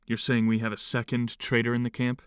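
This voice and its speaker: An actor in an audio drama playing a stern, impatient commune leader with a curt, no-nonsense manner. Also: male voice, mid-range voice, monotone, fairly quick, clear, resonant tone, no echo, studio quality, lightly acted. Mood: irritated.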